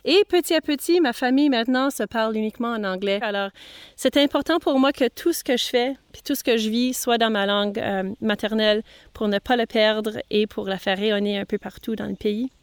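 A woman's voice: a little high, clear, resonant tone, no echo. A middle-aged woman from Manitoba with a Franco-Manitoban French accent, a singer-songwriter introducing herself casually and hesitantly between songs. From Manitoba, Canada. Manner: unhurried and hesitant, many pauses and "euh"s.

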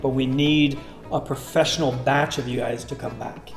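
A Canadian man whose first language is French, from Southwestern Ontario, calmly recounting his upbringing as an interview guest. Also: medium pitch, vocal fry. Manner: measured, thoughtful, with occasional hesitations and restarts. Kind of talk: reflective conversational storytelling. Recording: echoey room with faint guitar music. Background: faint guitar music.

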